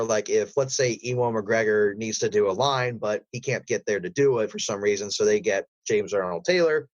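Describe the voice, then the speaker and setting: A man's voice: mid-range voice, dry recording, muffled recording. A man speaking as an interview guest about his voice work, in a rambling, enthusiastic way. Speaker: guest, voice actor.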